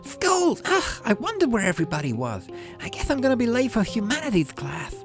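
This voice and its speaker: A man's voice: very high voice, huge pitch swings, brisk, over music, pitched above the performer's own voice, heavily acted. A man voicing a cheerful, carefree young girl in a video game, chatty and eager in a childlike way. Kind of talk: visual novel character voice.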